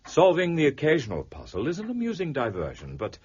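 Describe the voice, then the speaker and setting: Haughty, languid gentleman detective, swooping intonation. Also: on the high side, huge pitch swings, fairly quick, husky, full character voice. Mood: wry, languid. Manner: dry, pompous, theatrically weary. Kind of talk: period audio drama acting. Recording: dull audio with music behind.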